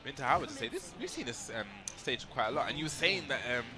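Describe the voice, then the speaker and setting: A man's voice: mid-range voice, huge pitch swings, fast talker. A man co-commentating a fighting game tournament, chatty and teasing. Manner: chatty, teasing, laughs often. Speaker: esports commentator. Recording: commentary over loud game music.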